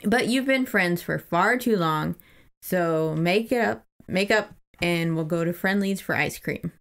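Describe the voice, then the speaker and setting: A woman's voice: on the low side, measured pace, gravelly. A woman co-hosting a podcast, casually recapping a book in an informal, amused way. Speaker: podcast co-host.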